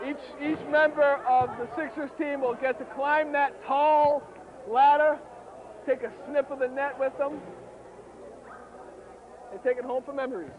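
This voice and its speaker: A man doing enthusiastic, emphatic play-by-play at an amateur basketball final, his voice hoarse and wearing out. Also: extremely high pitch, unhurried, pauses often, a crowd in the background. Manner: excitable, emphatic. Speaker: play-by-play commentator. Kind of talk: amateur league courtside commentary. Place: courtside at a basketball game. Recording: tinny, noisy outdoor recording.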